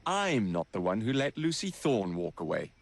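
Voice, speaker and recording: An actor playing a brash young Victorian adventurer in a video game, sardonic and cocky, with a British accent. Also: male voice, fairly low pitch, sing-song, moderate pace, vocal fry. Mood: cocky sarcasm. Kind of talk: dramatic game cutscene acting.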